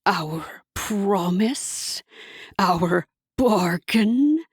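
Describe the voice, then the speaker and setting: A woman voicing a menacing male devil who whispers slowly and sinisterly to his daughter. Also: medium pitch, animated, slightly rough, dry recording, clean studio sound, full character voice. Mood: cruel. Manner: soft sinister whisper, slow and drawn out.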